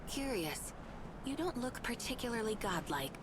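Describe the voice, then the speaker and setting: An actress playing a solemn, guarded lone survivor in a video game cutscene, speaking with formal, measured wording. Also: female voice, fairly high pitch, very animated, noisy background. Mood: cool curiosity. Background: game music.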